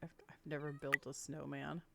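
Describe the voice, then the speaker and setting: A woman's voice: sits low, little pitch movement, moderate pace, creaky, very noisy. A woman chatting casually to viewers while playing a game, sounding congested and sniffly from a cold, giggling now and then. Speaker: gaming video creator. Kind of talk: casual let's-play commentary.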